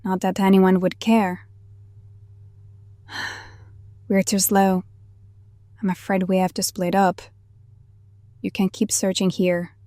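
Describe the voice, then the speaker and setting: A woman's voice: medium pitch, level tone, takes it slowly, long pauses, dark tone, no echo, lightly acted. Actress as half-banshee half-necromancer woman, protective, wistful, confiding, dry close sound, audio drama. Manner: calls the younger one honey, confiding.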